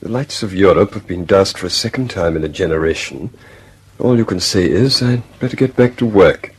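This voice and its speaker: An actor playing an affable senior colleague in a mid-century radio drama, conversational, a little faltering and mildly skeptical. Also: male voice, low-pitched, expressive, brisk, husky. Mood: bemused.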